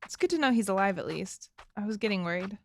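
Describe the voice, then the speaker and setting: A woman playing a soft-spoken, concerned gang member in an audio drama, with a gentle, understated delivery. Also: mid-range voice, lively intonation, fast, gravelly. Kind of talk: scripted audio drama acting.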